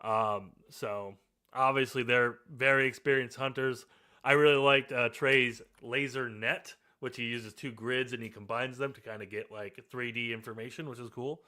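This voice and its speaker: A man's voice: mid-range voice, moderate pace. A man hosting a podcast after-show, talking casually and rambling with fillers. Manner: relaxed, rambling, many fillers. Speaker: podcast host.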